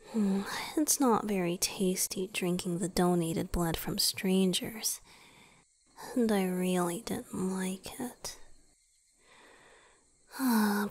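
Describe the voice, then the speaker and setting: A woman voicing a weakened, devoted male vampire boyfriend in an ASMR roleplay, speaking in a soft breathy whisper with a hesitant, intimate manner. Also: mid-range voice. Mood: tender, confiding.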